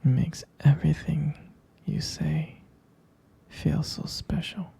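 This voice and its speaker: A man playing an adoring, tender vampire lover in an intimate ASMR roleplay, whispering breathily and haltingly with gravelly fry. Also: fairly high pitch, little pitch movement, exaggerated voice. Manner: slow, halting, softly repeating himself. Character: adoring, tender male vampire lover soothing his sweetheart.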